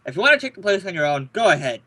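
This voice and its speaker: A man's voice: high voice, sing-song, rapid-fire, bright, forceful tone, full character voice. A man playing an exasperated, grumbling police lieutenant, sarcastic and blustery, in an old-time radio detective drama.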